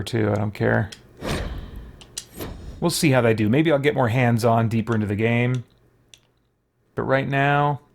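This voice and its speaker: A man giving casual let's play commentary, thinking aloud as he deliberates over a video game. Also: mid-range voice, creaky. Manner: musing aloud, hesitant deliberation.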